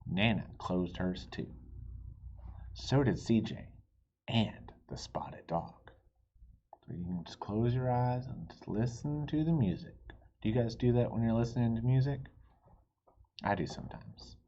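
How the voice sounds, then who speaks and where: Expressive man reading a picture book, unhurried. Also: medium pitch, lo-fi sound. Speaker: storybook reader. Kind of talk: expressive children's picture-book read-aloud.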